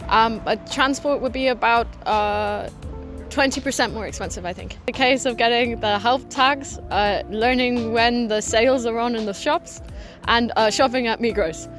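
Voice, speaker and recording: A young woman from Ireland, an exchange student giving measured, articulate interview answers. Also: a little high, fairly bright tone, soft background music. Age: young adult.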